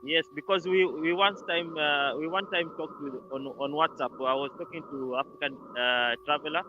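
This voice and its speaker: A man's voice: a little high, fairly even intonation, moderate pace. A man from Kenya with a Kenyan accent, calling in to a live chat. He speaks hesitantly and restarts often. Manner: hesitant, many restarts and fillers. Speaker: caller. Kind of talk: casual call-in chat. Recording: dull lo-fi call with clear background noise.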